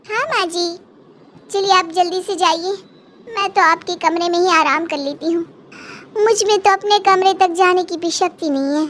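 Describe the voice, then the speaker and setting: A young cartoon daughter-in-law with a very high voice, played by a woman. She is sly and politely bossy, wheedling with sweet insistence. Faint noise sits behind her. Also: normal speed, fairly bright tone. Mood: feigned weakness. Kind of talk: Hindi cartoon moral story acting.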